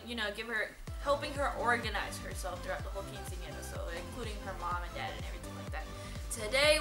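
A young woman chatting casually and explanatorily as the host of an advice vlog, recorded in a large room on a camera mic. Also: on the high side. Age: young adult. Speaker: host, quinceañera choreographer. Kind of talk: casual instructional vlog chat. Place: large indoor dress boutique showroom, seated on a sofa. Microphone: camera mic a few metres away. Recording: roomy echo, camera mic at a distance.

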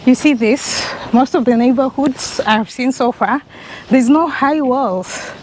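A woman's voice: sits high, slow, slight background noise, low-quality recording. A young Kenyan woman with a Kenyan accent, chatting to an action camera held at arm's length as she walks a sunny residential street. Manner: chatty, enthusiastic, talking to the camera while walking. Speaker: travel vlogger. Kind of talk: walking travel vlog.

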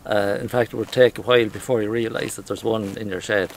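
A middle-aged Irish farmer with a Midlands accent and a gravelly, rough voice. He talks haltingly, stumbling through restarts and fillers, with faint background noise. He is recorded on a lapel mic, outdoors and in a farm shed. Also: lowish voice, steady tone. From Ireland. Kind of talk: casual interview. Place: outdoors in a field, then inside a farm shed.

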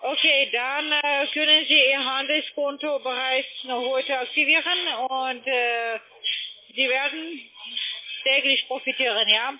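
A woman who is a non-native German speaker, giving a formal, polite telephone sales pitch. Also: fairly even intonation, unhurried, soft background music. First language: not German. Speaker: telephone investment sales agent. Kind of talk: scripted phone sales pitch. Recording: muffled narrow phone line.

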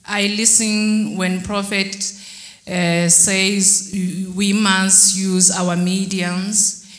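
A young woman tells her story slowly into a handheld microphone, hesitating and restarting as she goes. Her delivery stays flat and even. The sound is roomy, with the echo of a large hall. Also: mid-range voice. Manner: halting, hesitant, many fillers and restarts. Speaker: church member giving testimony. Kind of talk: testimony interview. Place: church hall backdrop, indoors. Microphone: handheld mic held by interviewer.

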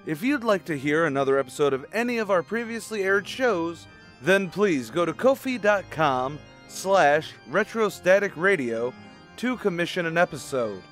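A man's voice: sits high, big pitch swings, moderate pace, fairly bright tone, soft background music. A man announcing an old-time style radio show in a projected, presentational manner.

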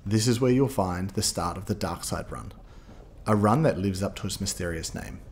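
A man's voice: low-pitched, moderate pace, a little mellow. A man giving upbeat scripted voice-over narration, guiding listeners step by step like a ski guide.

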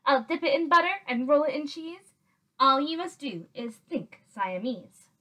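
A woman's voice: sits high, lively intonation, a little slow, pushed and bright, exaggerated voice, rough recording. A woman voicing a firm but affectionate mother cat in a children's storybook, bossy and emphatic. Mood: coaxing, warning. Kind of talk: children's storybook read aloud.

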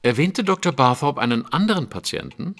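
Ein Schauspieler spricht einen scharfsinnigen, beherrschten viktorianischen Detektiv in einem deutschen Hörspiel, präzise, förmlich und nachforschend. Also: male voice, mid-range voice, very animated, a little fast, a bit bright. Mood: composed, formal. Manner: precise, formal, probing. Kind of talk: German audio drama acting.

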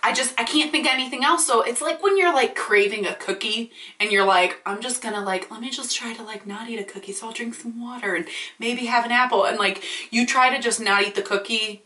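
A woman in her late twenties, vlogging in a chatty, animated, ranty way, swearing freely and a bit congested from allergies. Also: fairly high pitch, a little fast. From Michigan. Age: 20s. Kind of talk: casual ranting vlog. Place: small indoor room. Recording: roomy echo, slightly distant.

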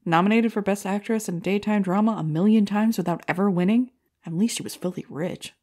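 A woman's voice: medium pitch, fairly quick, darkish tone, natural voice. A woman playing a bitter, cynical comedy circuit performer in an audio drama, jaded and dramatic.

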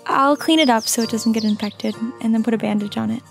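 Woman acting a war nurse, fairly high voice, calm, caring, world-weary, audio drama, faint music behind. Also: fast, gravelly. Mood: kindly.

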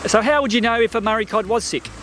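A man's voice: high voice, fast, a bit bright, slight background noise. A man interviewing a farmer outdoors, cheerfully reading questions from a sheet into a handheld mic. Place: outdoors beside farm ponds.

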